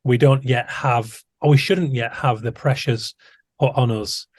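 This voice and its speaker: A man's voice: mid-range voice, fairly even intonation, unhurried, a little mellow, no echo. A man hosting an interview podcast, talking in a thoughtful, musing way with frequent restarts. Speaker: podcast host. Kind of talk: conversational interview.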